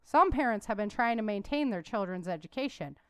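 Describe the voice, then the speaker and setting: A woman's voice: medium pitch, lively intonation, fairly quick, a bit bright, smooth, no echo, lightly acted. Woman reading as a chatty teacher. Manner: explaining, chatty.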